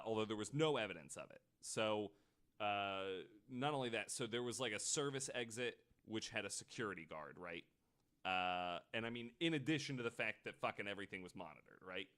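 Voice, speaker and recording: A man's voice: lowish voice, lively intonation, normal speed, a bit bright. A man hosting a podcast, telling a true-crime story in a casual, conversational way with the odd swear word. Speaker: podcast host.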